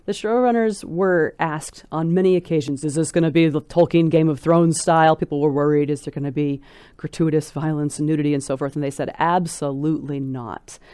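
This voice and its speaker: A woman speaking as a scholarly interview guest, articulate and precise, phrasing her thoughts in a measured, thoughtful way. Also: deep voice, fairly even intonation, mellow, clean tone, no room sound.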